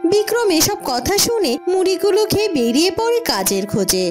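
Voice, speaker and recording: A woman's voice: very high voice, very animated, brisk, faint music behind. A woman narrating a scripted cartoon folk tale as a storyteller.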